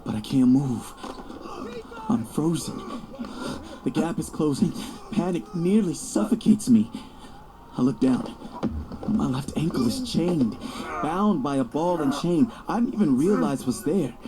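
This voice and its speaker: A man's voice: on the high side, lively intonation, unhurried, long pauses. An actor playing a young star quarterback, cocky yet anxious, narrating his own story in an audio drama. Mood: panicked.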